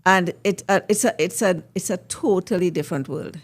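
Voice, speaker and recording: Woman, Trinidadian accent, middle-aged host, measured, questioning. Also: on the low side. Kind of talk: current affairs discussion.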